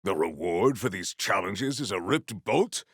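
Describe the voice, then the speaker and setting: An actor playing a noble, stern warrior in a video game, speaking with formal, grandiose deadpan deliberation. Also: male voice, deep voice, measured pace, creaky, breathy edge, dry recording, clean studio sound, full character voice. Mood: solemn. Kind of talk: theatrical video game character acting.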